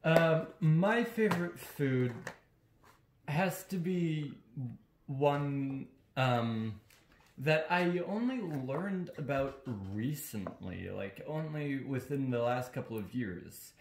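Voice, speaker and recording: A young man, chatting casually in a halting, deliberate way. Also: mid-range voice, lively intonation, slight background noise. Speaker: co-host answering and asking questions. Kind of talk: casual chat. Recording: roomy, echoing sound.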